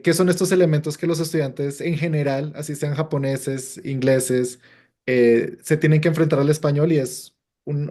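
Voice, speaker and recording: A man with a mellow, dark-toned voice co-hosts an interview. He frames his question slowly, with pauses and fillers as he searches for the right words. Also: mid-range voice. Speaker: co-host.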